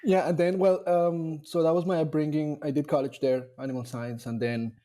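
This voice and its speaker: Mellow-voiced man, thoughtful. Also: on the high side, steady tone, moderate pace. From Colombia. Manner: thoughtful, with frequent fillers and restarts. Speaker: dairy nutrition scientist guest. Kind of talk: casual interview conversation.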